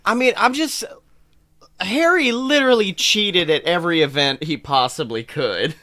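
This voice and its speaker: Exasperated higher-pitched man ranting casually. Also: normal speed, no echo, studio quality. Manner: animated, exasperated, sweary complaining. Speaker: podcast host. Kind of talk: casual chat, comic film rant.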